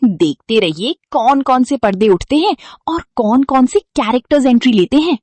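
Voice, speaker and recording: Woman playing a lively young vlogging housewife. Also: sits high, normal speed, breathy edge, no room sound. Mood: cheerful, cheeky. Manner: playful, cheeky, mixes in English slang. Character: chirpy modern young daughter-in-law who vlogs. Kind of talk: cartoon vlog-style storytelling.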